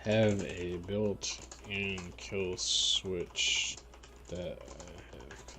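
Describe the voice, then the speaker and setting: A man's voice: low voice, drawn-out words, pushed and bright. A man giving casual live game commentary, muttering and thinking aloud as he plays. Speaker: game streamer.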